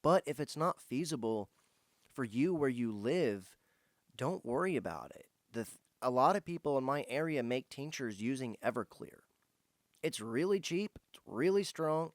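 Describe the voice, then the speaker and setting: A man's voice: medium pitch, dry recording, studio quality. A man speaking in an earnest, easygoing way as he answers viewer questions in a casual vlog. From United States, Indiana. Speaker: host of a foraging and herbal channel.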